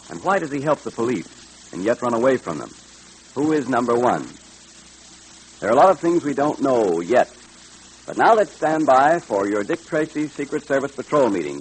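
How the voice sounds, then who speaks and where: A man's voice: mid-range voice, slight background noise, poor audio. An American man announcing and selling to children in 1930s radio style, with a hearty salesman's delivery.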